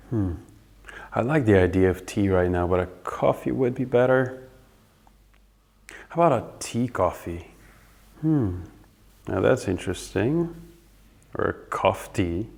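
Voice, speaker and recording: A man's voice: lowish voice, creaky, a little mellow, full character voice. A man acting a pompous, fussy wannabe rock star in a sitcom table read. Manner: self-important, musing to himself.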